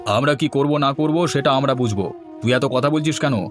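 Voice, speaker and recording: A lazy older brother in a cartoon barks orders and mocks his younger sibling, as a man acts him out. His voice is harsh and commanding, sitting at an ordinary pitch, with soft music underneath. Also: normal speed, lightly acted. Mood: bossy. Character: bossy lazy elder brother, scolding and mocking. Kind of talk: cartoon dubbing.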